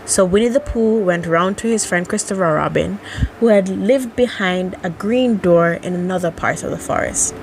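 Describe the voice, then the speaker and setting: A woman's voice: on the low side, moderate pace, creaky, slight background noise, poor audio. A woman narrating a children's bedtime story as an amateur audiobook reader.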